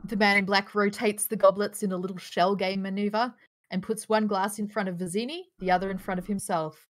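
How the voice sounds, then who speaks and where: A woman narrates stage directions for an online script read. Her voice sits at a medium pitch, and she reads the scripted action over a video call. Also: fairly even intonation, fairly quick.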